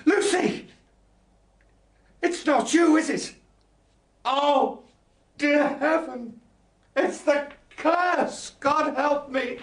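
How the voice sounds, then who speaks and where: An actor playing an angry, fearful gentleman father afflicted in his mind, accusing and agitated, in an audio drama. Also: reverberant, full character voice. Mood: terrified. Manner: accusing, agitated, swinging from low scolding to cries.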